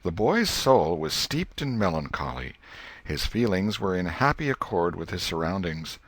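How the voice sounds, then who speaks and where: A man reading a literary story as an audiobook narrator, in a measured storyteller manner. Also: low-pitched, very animated, slightly rough, dry recording.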